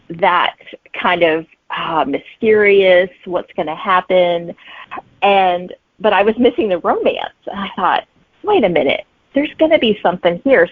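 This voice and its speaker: A woman's voice: fairly low pitch, breathy edge, dry recording. A middle-aged woman guest chatting in an interview, telling stories and voicing her own thoughts as she goes. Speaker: guest, romantic suspense author. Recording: thin band-limited phone-like line.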